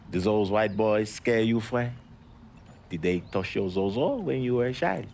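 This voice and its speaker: Proud low-voiced Haitian smuggler. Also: male voice, animated, slow pace, gravelly, a little mellow, slight background noise, full character voice. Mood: mocking. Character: proud, mocking Haitian smuggler, sardonic and resentful. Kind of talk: video game cutscene acting.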